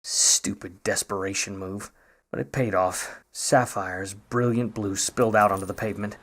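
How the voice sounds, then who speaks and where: An actor playing a wry, wisecracking private eye in a 1950s noir radio play, narrating and trading slangy lines. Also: male voice, lowish voice, fairly even intonation, moderate pace, fairly bright tone. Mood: wry, brooding. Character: wry, grudging hardboiled male private eye.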